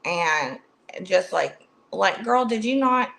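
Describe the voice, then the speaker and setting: A woman's voice: mid-range voice, expressive, takes it slowly, vocal fry, fairly bright tone, low-quality recording. A woman hosting a casual livestream chat, chatty, with lots of fillers and restarts. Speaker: livestream host.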